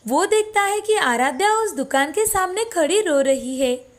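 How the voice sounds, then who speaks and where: A woman's voice: extremely high pitch, lively intonation, measured pace. A woman reading a scripted children's story as narrator. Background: faint music.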